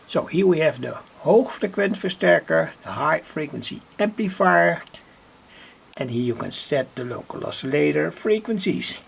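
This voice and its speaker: Slow, gravelly Dutch-accented man. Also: on the high side. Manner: halting, deliberate, pausing mid-sentence. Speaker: electronics hobbyist presenter. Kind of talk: unscripted demonstration of a homemade device.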